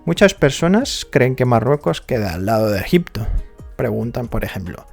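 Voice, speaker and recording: A man's voice: mid-range voice, sing-song, moderate pace, creaky, faint music behind. A man from Morocco with a Moroccan accent, chatting casually in a vlog, peppering his talk with fillers and tag questions. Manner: conversational, many fillers like "digamos" and "¿no?". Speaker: vlogger.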